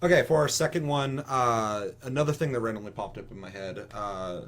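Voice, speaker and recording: A man's voice: mid-range voice, a little fast, a bit bright. A man co-hosting a casual gaming talk show, ranting with strong opinions in an emphatic, rambling, swear-heavy way. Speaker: podcast co-host.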